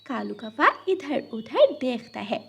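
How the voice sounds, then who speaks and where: A woman's voice: high voice, sing-song, takes it slowly, gravelly, fairly bright tone, a little hiss or hum. A woman reading scripted narration for a children's story as its narrator.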